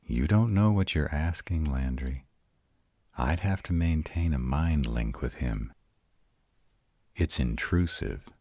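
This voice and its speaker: A man voicing an arrogant, commanding vampire in an audio roleplay, speaking in a formal, measured and stern manner. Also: rumbling low, animated, a little mellow, dry recording, studio quality, exaggerated voice. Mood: grave, formal.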